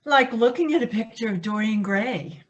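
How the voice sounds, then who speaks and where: A woman's voice: fairly high pitch, normal speed, close to an everyday voice. An actress playing Margaret, an effusive older woman friend, in a staged play reading. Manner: bubbly, effusive. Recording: dull lo-fi sound.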